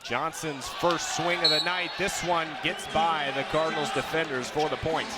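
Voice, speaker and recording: Male play-by-play commentator, American, higher-pitched voice, lifts into shouts on big points, loud arena din behind. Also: steady tone, normal speed. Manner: raises voice on big plays. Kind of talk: live sports commentary. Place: indoor sports arena during a match. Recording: loud gym noise, bouncing balls. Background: loud arena noise, balls bouncing.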